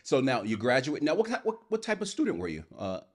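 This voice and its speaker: Middle-aged male co-host, lively swinging delivery, laughs easily, lapel mic. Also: mid-range voice, quick pace. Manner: animated, upbeat, laughs often. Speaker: podcast co-host. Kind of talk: casual interview chat. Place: indoor studio set with armchairs.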